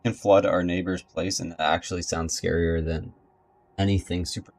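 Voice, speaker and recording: A man's voice: low-pitched, level tone, moderate pace, darkish tone. A man giving casual, off-the-cuff commentary while streaming a game playthrough.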